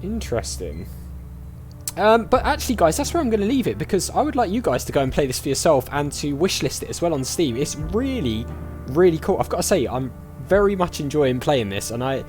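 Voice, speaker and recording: A man's voice: on the high side, big pitch swings, a little fast, darkish tone, soft background music. A man doing casual let's play commentary, thinking aloud as he goes. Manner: thinking aloud, reacting to the game. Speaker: gaming streamer.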